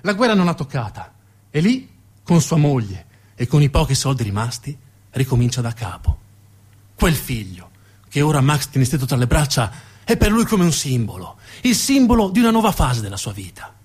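A man's voice: mid-range voice, very animated, normal speed, a little mellow, slightly rough. A man performing a theatrical narrative monologue, dramatic and emphatic, building on repeated phrases. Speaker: actor and monologue storyteller.

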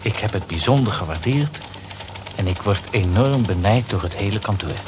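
Bashful, hesitant office man played by an actor, earnest, low voice, noisy vintage radio sound. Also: expressive, moderate pace, natural voice. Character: shy, earnest, grateful male office worker. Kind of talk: Dutch radio drama acting. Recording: muffled, noisy old radio recording.

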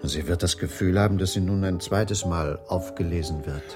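An actor playing a weary, unhappily married waiter in his thirties in a radio crime drama, with a gravelly, husky voice. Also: sits low, moderate pace, music in the background, natural voice. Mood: subdued, serious. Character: weary dining-car waiter, unhappily married man in thriller.

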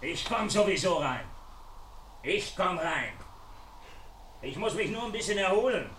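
An actor playing a wounded, menacing intruder who mocks and taunts through a door in a German radio crime play. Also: male voice, sits high, expressive, measured pace, recorded from a distance, background noise. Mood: mocking menace. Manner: jeering, mock-polite, swinging from muttering to shouting. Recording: echoey radio play, off the mic.

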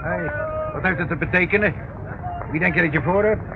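An actor playing a small, evasive, henpecked crook who protests and pleads hesitantly in a radio play. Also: male voice, high voice, fairly quick, a loud crowd. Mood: indignant, nervous. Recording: dull, faintly noisy old radio recording.

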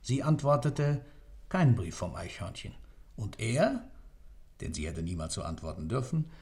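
A man's voice: lowish voice, very animated, measured pace, darkish tone. A man playing a grave, obsessive witness who narrates a radio play in solemn, declamatory poetic style. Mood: urgent.

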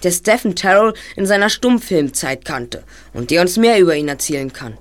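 Audio drama lead: actor as brisk boy detective, mid-pitched, articulate and analytical, bookish turns of phrase. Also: expressive, lightly acted. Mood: businesslike, confident.

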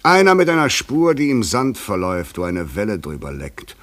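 A man's voice: on the low side, wildly swooping pitch, moderate pace, fairly bright tone. A man acting an eloquent, world-weary fugitive in a literary radio play, speaking poetically and rhetorically. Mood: brooding. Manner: poetic, rhetorical, theatrical delivery.

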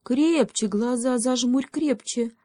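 A woman's voice: a little high, slow, dark tone, dry recording, studio quality, pitched above the performer's own voice. A woman voicing a sly male hedgehog in a children's story, speaking with mock gravity and teasing deliberation. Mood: commanding.

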